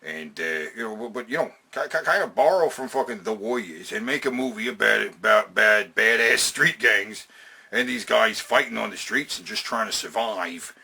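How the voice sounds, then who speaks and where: A man's voice: on the low side, normal speed, gravelly, pushed and bright, slightly rough. A man hosting a casual, opinionated countdown, talking in emphatic, sweary slang and repeating phrases to drive them home. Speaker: host of a video game and movie countdown.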